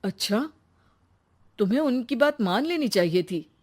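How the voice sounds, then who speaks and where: A woman's voice: fairly high pitch, animated, measured pace, husky. Woman voicing a blunt, short-tempered young husband. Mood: easygoing. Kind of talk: Hindi cartoon story dialogue.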